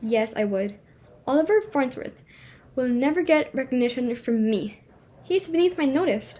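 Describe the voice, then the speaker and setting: A woman's voice: high-pitched, animated, normal speed, warm tone. A woman playing a flustered, imperious society hostess and mother in a dramatic reading of a stage comedy, emphatic and exclamatory. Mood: indignant, defiant.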